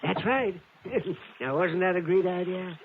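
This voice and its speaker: A man playing a jolly, scatterbrained wealthy gentleman with a dithering, exclamatory manner in 1950s radio drama. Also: high voice, measured pace. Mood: delighted. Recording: muffled, hissy old radio recording.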